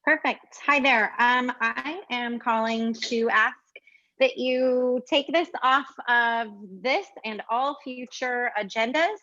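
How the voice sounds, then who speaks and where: A woman's voice: fairly high pitch, takes it slowly, bright, forceful tone, dry recording, highs cut off. A woman calling in by phone to a council meeting, firm and insistent in her public comment. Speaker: caller. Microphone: phone line.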